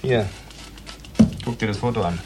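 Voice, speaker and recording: A man's voice: lowish voice, moderate pace, heavy background noise, lightly acted. A man playing a crude, leering, callous homicide lieutenant in a radio play, talking in a sleazy, taunting way.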